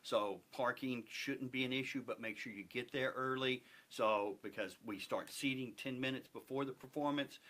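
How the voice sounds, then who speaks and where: Bright, forceful man promoting a show. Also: medium pitch, moderate pace, hardly any pauses. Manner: earnest, emphatic, persuasive. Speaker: stage play cast member promoting the show. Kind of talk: promotional group interview talk.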